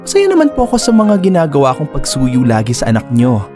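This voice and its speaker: A man's voice: mid-range voice, huge pitch swings, fast, music in the background. A man with a Filipino accent playing a flamboyant, clingy, persistent lover in a radio drama, exclamatory and campy. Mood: defensive, confused. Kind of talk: Tagalog radio drama acting and narration.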